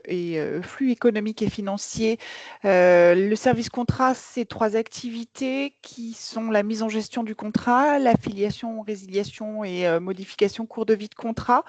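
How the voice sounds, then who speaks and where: Brisk woman with a slightly high voice. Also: dry recording. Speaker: contracts service manager. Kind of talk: self-introduction in a meeting. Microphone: video call.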